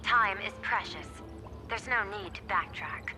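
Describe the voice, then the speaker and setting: A woman's voice: mid-range voice, a little slow, bright, forceful tone, faint music behind. A woman voicing a smug, theatrical female impostor in a video game, coy and knowing. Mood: smug, playful. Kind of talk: scripted video game acting.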